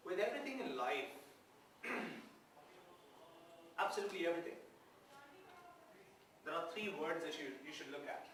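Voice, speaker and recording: A man's voice: on the high side, very animated, normal speed, pauses often, a bit bright. A male guest professor giving a lecture to students, asking them questions and repeating his key words. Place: large echoey hall. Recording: echoey hall, distant mic, faint noise.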